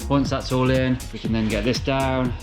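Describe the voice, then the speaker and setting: Upbeat builder over music. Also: male voice, medium pitch, steady tone, moderate pace. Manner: upbeat, explains work step by step. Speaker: builder presenting a build vlog. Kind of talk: casual on-site commentary. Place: building site, indoors and out.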